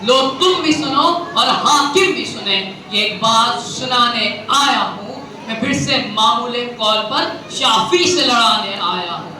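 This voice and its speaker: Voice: medium pitch, unhurried, few pauses, crowd noise. A student speech contestant with a Pakistani accent delivers a fiery, poetic declamation in a theatrical, rhetorical manner, repeating phrases for emphasis. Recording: very echoey, distant mic, noisy venue recording.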